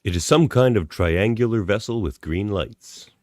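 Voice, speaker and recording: Low male narrator, animated delivery, dry close sound, scripted scene-setting. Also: moderate pace, no room sound. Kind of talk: scripted stage-direction narration.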